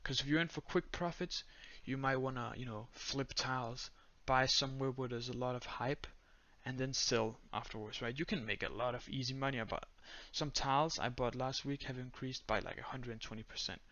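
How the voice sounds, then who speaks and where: A young man with a Danish accent giving a step-by-step screen-recorded tutorial in a flat, monotone voice full of "uh"s and "um"s. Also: medium pitch, brisk, hardly any pauses, highs cut off. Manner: monotone, many fillers. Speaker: tutorial creator.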